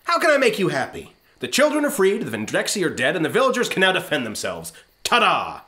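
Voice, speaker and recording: An actor playing a brash, self-satisfied starship captain in a comedic science-fiction radio play, glib and pompous. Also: male voice, high-pitched, huge pitch swings, quick pace, sharp tone, heavily acted. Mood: defensive.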